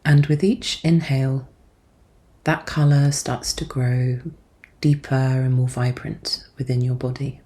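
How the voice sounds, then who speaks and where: A woman speaks in a deep, relaxed voice with a dark, warm tone. She guides a meditation as a therapist would, gently and soothingly. Her delivery is measured and calm, giving each instruction softly.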